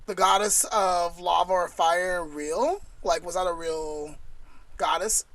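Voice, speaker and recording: A woman co-hosts a casual podcast with a slightly low voice. Her delivery is conversational and inquisitive, like relaxed talk between two people who know each other. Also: slow, no echo. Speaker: podcast co-host.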